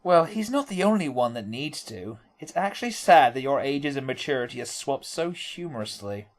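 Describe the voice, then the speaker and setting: A man's voice: medium pitch, very animated, normal speed, natural voice. Wisecracking agent, male actor: medium voice with big swings, teasing, wry, audio drama. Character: cheeky, teasing agent who mocks colleagues.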